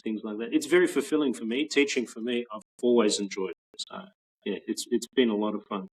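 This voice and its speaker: A man around forty with an Australian accent, chatting as a guest on a video call in a relaxed, rambling way with frequent restarts. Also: fairly low pitch, fairly quick, husky, low-quality recording. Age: 40s. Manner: relaxed, rambling with many restarts and soft laughs. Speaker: guest, patent office trainer. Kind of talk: casual interview chat.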